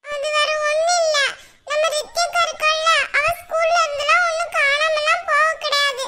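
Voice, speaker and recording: Tattling little girl, very high and bright, voiced by a woman. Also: little pitch movement, moderate pace. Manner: eager, tattling. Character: chatty little girl telling tales.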